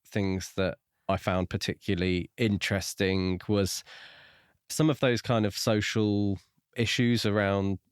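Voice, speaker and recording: Lower-voiced man in casual discussion. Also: little pitch movement, a little slow, clear, resonant tone, no room sound, clean studio sound. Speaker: podcast co-host reviewing books.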